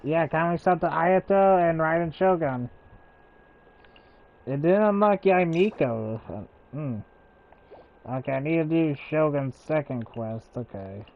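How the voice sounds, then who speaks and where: A man streaming a game, talking in unhurried, mumbled asides. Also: fairly high pitch. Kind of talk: casual gameplay commentary. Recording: tinny phone-like audio.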